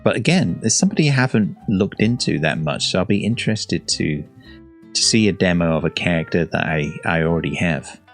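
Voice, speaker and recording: A man's voice: deep voice, lively intonation, a little fast, faint music behind. A man hosting a reaction video, thinking aloud in a casual, hesitant way with many fillers. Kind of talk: casual musing commentary.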